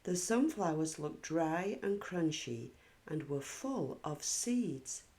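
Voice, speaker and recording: A woman's voice: deep voice, big pitch swings, slow pace, a little mellow. A woman hosting and narrating a children's storybook read-aloud.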